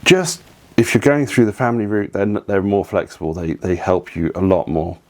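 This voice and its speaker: A man talks through family travel tips in an easy, chatty way. His voice sits fairly low. He drifts into opinionated asides and pauses on plenty of ums. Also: a little mellow. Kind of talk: casual advice talk to camera.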